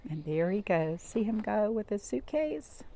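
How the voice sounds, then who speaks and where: A woman reading a picture book aloud to young children, expressive, with dramatic gasps and questions to her listeners. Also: medium pitch, measured pace, creaky. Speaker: storytime reader.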